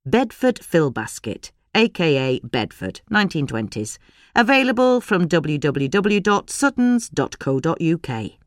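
Woman reading with lively, swooping intonation. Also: deep voice, unhurried, dry recording, studio quality. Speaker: reader of a gardening magazine article. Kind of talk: scripted narration, podcast article reading.

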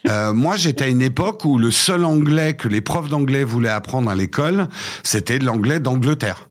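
A man in his fifties, raised in the United States with English as his first language, tells his childhood story in a lively, stop-and-start way. His voice sits at an ordinary middle pitch. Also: normal speed. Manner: animated storytelling with frequent restarts. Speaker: guest. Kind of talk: casual interview chat.

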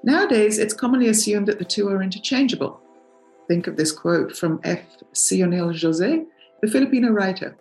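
An older woman hosting a podcast, reading a measured, scripted introduction with lively intonation. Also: medium pitch, soft background music, poor audio. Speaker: podcast host.

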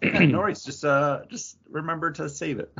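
A man's voice: a little high, normal speed, bright, forceful tone, slightly rough, dull audio. An American man co-hosting a podcast, chatting in a casual and informal style. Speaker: podcast co-host. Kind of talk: casual, joking chat.

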